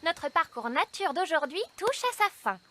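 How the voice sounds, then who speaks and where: A woman voicing a polite, cheerful, unsuspecting schoolteacher in a children's cartoon, brisk and encouraging. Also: high voice, expressive, loud music behind the voice. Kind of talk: French-dubbed children's cartoon acting.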